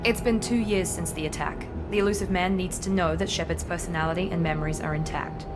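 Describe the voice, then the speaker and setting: A woman's voice: fairly low pitch, fairly even intonation, quick pace, close to an everyday voice. A woman playing a cold, commanding sci-fi agent. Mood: cool. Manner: cool, dry, faintly condescending. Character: cool, clipped woman officer, confident and cold. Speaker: Cerberus project director. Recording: game audio with music score.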